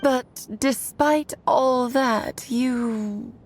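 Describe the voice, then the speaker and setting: A woman's voice: sits high, very slow, soft background music. A woman voicing a worldly, theatrical game character who talks with knowing fondness, like an old friend. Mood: knowing, cautioning. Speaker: voice actor. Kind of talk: scripted game dialogue.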